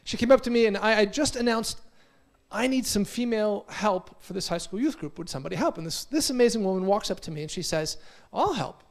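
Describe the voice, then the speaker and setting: Man, American accent, forties, high voice, energetic storyteller, conference talk. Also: a little fast. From United States. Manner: energetic storytelling, repeats words. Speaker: conference speaker. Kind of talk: motivational talk. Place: conference hall.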